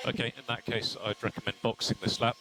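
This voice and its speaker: A man working as a race engineer, giving a brisk technical briefing over team radio. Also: mid-range voice, flat delivery, bright, forceful tone. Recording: noisy team radio transmission.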